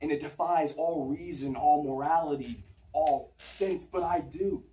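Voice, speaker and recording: A man acting out an anguished, self-doubting rationalist confessing forbidden love in an articulate, earnest stage monologue with dramatic pauses. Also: fairly high pitch, dark tone, lightly acted. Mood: helpless devotion. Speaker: acting student. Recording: roomy, distant mic, faint background noise.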